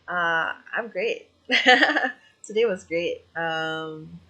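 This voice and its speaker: A woman's voice: on the low side, very slow, sharp tone. A woman from Malaysia chatting casually with viewers as a live streamer, in an informal, giggly, self-deprecating way. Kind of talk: casual livestream chat with viewers.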